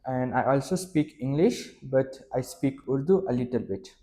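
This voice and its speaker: Young man, first language Pashto, exam candidate, hesitant, fillers, mellow voice. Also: mid-range voice, normal speed. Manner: hesitant, many fillers and restarts. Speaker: test candidate. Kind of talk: mock speaking exam answers.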